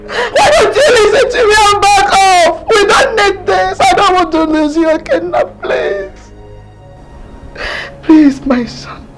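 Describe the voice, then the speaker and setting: A woman's voice: high voice, sing-song, unhurried, darkish tone, soft background music, full character voice, low-quality recording. A woman playing a distraught royal mother who begs tearfully and repeats herself, fearing for her only son. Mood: pleading. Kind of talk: melodramatic film acting.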